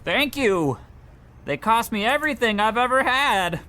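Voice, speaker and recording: A meek, poor clerk acted by a man in a comic radio play. His voice is high and keen-edged. He hesitates, apologises and stammers when flustered. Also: lively intonation, measured pace, bright, forceful tone, a little hiss or hum, exaggerated voice. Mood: naive, apologetic. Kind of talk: comic old-time radio play acting.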